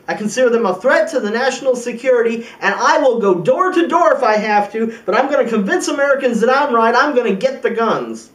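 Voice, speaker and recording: A man acting an indignant, resolute president giving an emphatic political speech in an amateur film monologue, with deliberate pauses. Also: sits high, animated. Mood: defiant. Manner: emphatic oratory with deliberate pauses and rhetorical questions. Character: indignant, resolute male American president addressing the press. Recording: roomy echo, distant microphone.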